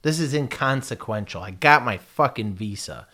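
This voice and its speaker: A man's voice: mid-range voice, animated, normal speed, sharp tone. Lisping American man, rambling casually. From United States. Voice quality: lisp. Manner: rambling, profane, self-mocking. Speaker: vlogger. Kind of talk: casual chat.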